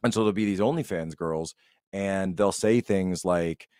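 A man with a deep voice talks in a dry, close-sounding recording. He rambles in a casual, opinionated podcast style, telling stories with animation and acting out what people said. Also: moderate pace, a little mellow, clean tone, no echo.

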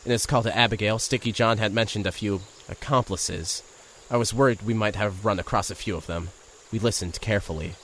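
A man's voice: fairly low pitch, fairly quick, fairly bright tone, a little hiss or hum. A man narrating an audio drama in the first person and playing a nervous reporter, with an earnest, wry delivery.

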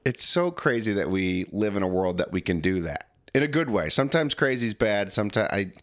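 Male podcast host, slightly nasal, low voice, lively and rambling. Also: a little fast. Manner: animated, rambling with fillers. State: a little nasal. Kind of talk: casual podcast chat.

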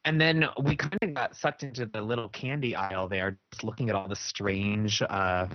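A man co-hosting a podcast in casual chat, rambling and halting with many fillers. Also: on the low side, fairly even intonation, dry recording, muffled recording. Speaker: podcast co-host.

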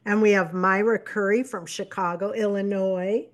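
A woman co-hosting a live chat show, upbeat and encouraging, reading out viewer shout-outs. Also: mid-range voice, steady tone. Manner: upbeat, encouraging, quick interjections. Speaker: livestream co-host.

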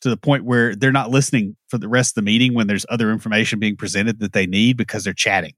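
A man's voice: lowish voice, steady tone, quick pace, a bit bright, no room sound, clean studio sound. A man co-hosting a podcast, giving blunt, wry opinions and anecdotes. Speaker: podcast co-host. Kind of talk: casual opinionated chat.

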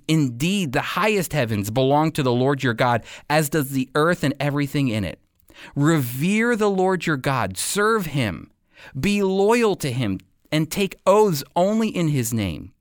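A man delivers a sermon as a Bible teacher, setting out each phrase deliberately and pausing for emphasis. His mid-pitched voice moves widely up and down. The sound is dry, with almost no room around it. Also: no room sound. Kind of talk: prepared sermon teaching to a congregation.

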